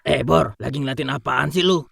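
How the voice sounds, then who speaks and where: A man's voice: mid-range voice, fairly quick, vocal fry. A man voicing a chatty, teasing, mock-wise buddy in a cartoon, with colloquial Jakarta Indonesian.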